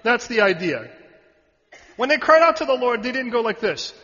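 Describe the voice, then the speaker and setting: A man preaching a sermon, animated and joking with the audience, sometimes shouting out a word. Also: very high voice, moderate pace. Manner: animated, shouting at peaks, jokey asides to the audience. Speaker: pastor. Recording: muffled hall recording.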